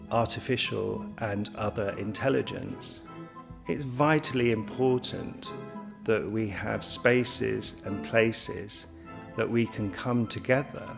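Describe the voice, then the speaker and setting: A man talks very slowly, with a noticeable creak in his voice. He sounds like a college representative in a promotional interview, choosing formal words in a measured way. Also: lowish voice. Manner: measured, formal, abstract wording.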